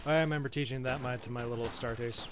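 A man's voice: medium pitch, fairly even intonation, fast, background noise. Joking man narrating a shooter game. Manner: joking, mock-zealous. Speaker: gaming channel co-host. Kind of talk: casual let's-play chat.

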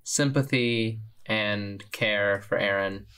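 A man playing a tabletop role-playing game, casually narrating his character's actions in a halting, word-searching way. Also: lowish voice, fairly bright tone, smooth.

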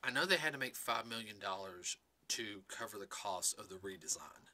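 A man with a slightly raspy voice co-hosting a podcast, chatting casually about a movie and rambling with many fillers. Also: on the low side, lively intonation, moderate pace, bright, forceful tone. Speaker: podcast co-host. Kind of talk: casual movie review chat.

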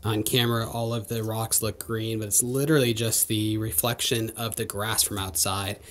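A man's voice: lowish voice, level tone, normal speed, a bit bright, a little hiss or hum. Man exploring a cave, explaining as he walks. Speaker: vlogger and father. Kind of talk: casual explaining to camera. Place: stone cave house in a wooded hillside, partly outdoors. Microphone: handheld camera, sometimes at arm's length.

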